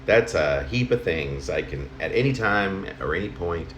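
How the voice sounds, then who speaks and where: A middle-aged or older man reading his own letter-like poem aloud in a halting, conversational way, correcting himself as he goes. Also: low-pitched, expressive. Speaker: poet reading own work. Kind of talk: spoken poem reading in the form of a personal letter. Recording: roomy home recording, faint noise.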